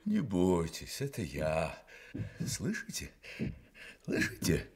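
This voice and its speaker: Cowardly lion, very deep, wildly swooping intonation, big-hearted, anxious, radio play. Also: male voice, wildly swooping pitch, takes it slowly, slightly rough, exaggerated voice. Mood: shaken, relieved. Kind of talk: Soviet radio play acting.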